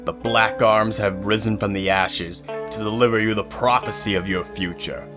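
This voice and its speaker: A man's voice: lowish voice, steady tone, moderate pace, dull audio, close to an everyday voice. A man playing a menacing zealot herald who pompously proclaims doom in an audio drama. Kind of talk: audio drama villain monologue. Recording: music under the voice.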